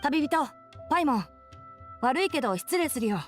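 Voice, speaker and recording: A woman's voice: high voice, animated, fast talker. A woman voicing a youthful, scholarly male forest ranger in a video game, explaining things in a dry, matter-of-fact way. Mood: exasperated, polite. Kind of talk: animated video game voice acting. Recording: game audio over music.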